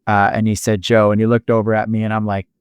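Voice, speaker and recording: A man's voice: fairly low pitch, little pitch movement, smooth, no room sound, studio quality. A man hosting a podcast, chatting casually with a guest and stumbling through fillers and restarts. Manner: halting, full of fillers and restarts. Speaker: podcast host. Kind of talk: casual interview chat.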